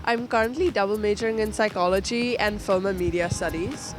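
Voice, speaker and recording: A woman's voice: mid-range voice, brisk, music in the background. A young woman from India introducing herself casually to camera in an upbeat, chatty way. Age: young adult. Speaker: news intern introducing herself.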